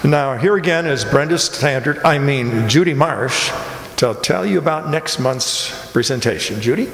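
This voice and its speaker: A man with a mid-pitched voice announces a live radio players' show in a jokey, scripted style. He is heard in an echoey hall with loud noise. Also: animated, measured pace. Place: auditorium stage, live before audience.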